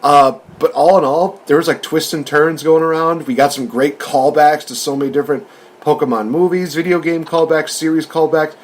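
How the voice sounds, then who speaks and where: A man's voice: fairly high pitch, fairly even intonation, moderate pace. A man hosting a casual review discussion, recapping and commenting in an informal, conversational way. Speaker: podcast host reviewing films.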